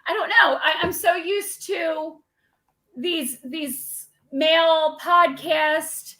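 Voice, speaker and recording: A woman guest co-hosting a podcast, chatty and eager, with a high-pitched voice. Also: fairly even intonation, slow pace, bright, forceful tone, low-quality recording. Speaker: guest co-host. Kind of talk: casual chat.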